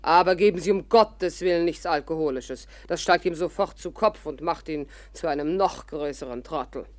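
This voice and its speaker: An actress playing a vain, nosy old stage actress in a 1950s radio drama, theatrical, haughty and declamatory. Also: female voice, low voice, moderate pace, close to an everyday voice. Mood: haughty, commanding. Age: older. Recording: 1950s radio play recording.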